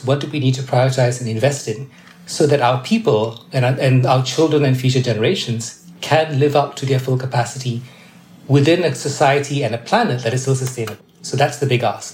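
A man in his fifties from Malaysia, a development adviser explaining ideas in a measured, thoughtful way as a podcast guest. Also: medium pitch, a little mellow, a little hiss or hum. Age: 50s. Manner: measured, thoughtful, occasional restarts. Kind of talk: explanatory interview answers. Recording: echoey room.

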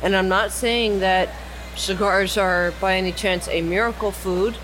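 A woman's voice: mid-range voice, fairly even intonation, measured pace, a bit bright. A woman talking casually and explanatorily as part of a podcast panel, recorded in a lounge. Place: cigar lounge. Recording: clearly noisy lounge recording.

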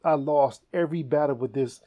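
A man hosting a comedy podcast, telling stories in a casual, animated way and laughing often. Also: fairly high pitch, fairly quick, phone-quality sound. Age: adult. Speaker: podcast host.

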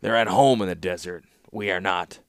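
A man doing a gruff, cunning warlord. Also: deep voice, dry recording, clean studio sound. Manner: gruff, deliberate. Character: cunning middle-aged male warlord and ruler.

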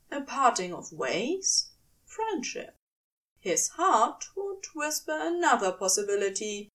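A woman reading a story aloud as expressive scripted narration, dramatic and deliberate with pauses for emphasis. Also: on the high side, distant mic. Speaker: fan fiction audiobook reader.

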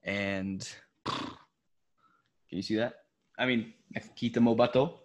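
A young American man chats easily as the host of a casual podcast, his voice sitting on the low side. He keeps things loose, laughs a lot and fills pauses with "um" and "uh". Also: steady tone. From United States. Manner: laid-back and chatty, laughs often, many fillers. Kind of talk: casual podcast chat mixing English and Tagalog.

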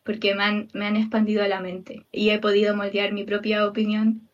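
A woman's voice: mid-range voice, completely flat delivery, moderate pace. A young woman talks earnestly, restarting her sentences as she goes. She sounds far from the mic and a little muffled. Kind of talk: casual group chat.

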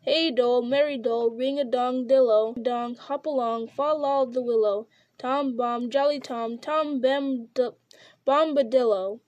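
A woman's voice: high voice, fairly even intonation, unhurried, darkish tone. A woman reading aloud, singing the carefree nonsense song of a glad, jolly old man of the forest. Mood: merry. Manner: carefree, lilting sing-song.

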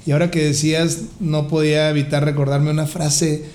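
A man's voice: a little high, little pitch movement, a little fast, darkish tone. A man with a Mexican accent hosting a podcast in a casual, jovial, joking way. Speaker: podcast host. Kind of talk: casual interview chat.

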